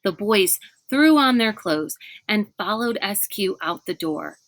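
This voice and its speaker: A woman's voice: medium pitch, lively intonation, measured pace, a bit bright, no echo. A woman reading a children's novel aloud as scripted narration. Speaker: storybook reader.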